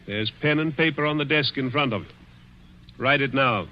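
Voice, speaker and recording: A man's voice: medium pitch, moderate pace, lightly acted. An actor playing a cruel, dying rich man who taunts with sly chuckles in a 1940s radio drama. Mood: menacing. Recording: dull old radio broadcast.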